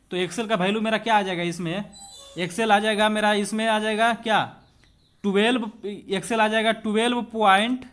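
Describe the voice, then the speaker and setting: A high-voiced man gives an exam-prep physics lesson, working through questions step by step. He talks to students conversationally, puts rhetorical questions to them and drops English technical terms into his explanations. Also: a bit bright. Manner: switches between Hindi and English terms. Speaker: physics teacher.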